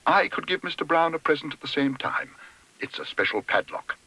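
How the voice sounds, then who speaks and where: A polite, earnest little British bear from a storybook, put on by a man reading aloud. The voice is pitched high and lilts brightly, innocent and apologetic in manner. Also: expressive, fairly quick, breathy edge, full character voice, low-quality recording. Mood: proud, earnest. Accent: British English.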